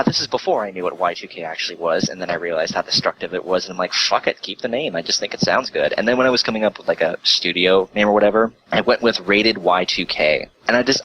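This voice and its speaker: A man with a very low, bright and forceful voice tells casual stories as a guest, swearing freely and cutting in with exasperated asides. It comes over a voice call with some noise in the background. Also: low-quality recording. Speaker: guest, online video creator. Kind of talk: casual profane storytelling chat.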